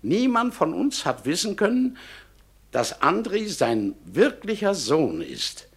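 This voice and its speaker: A man's voice: sits high, wildly swooping pitch, takes it slowly, heavily acted. An actor playing a middle-aged innkeeper who insists before a court that he is not to blame. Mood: defensive. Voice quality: gravelly, husky. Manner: self-justifying, insistent. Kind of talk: radio drama monologue.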